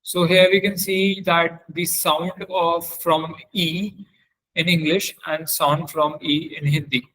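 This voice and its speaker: A man presenting a technical webinar as a trainer, explaining haltingly and often repeating and correcting himself. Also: fairly high pitch, fairly even intonation, bright, forceful tone, poor audio. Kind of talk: technical lecture with screen demonstration.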